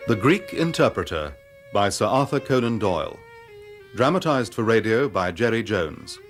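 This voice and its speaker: A man's voice: fairly low pitch, very animated, moderate pace, music in the background. A man reading scripted credits as a radio drama announcer.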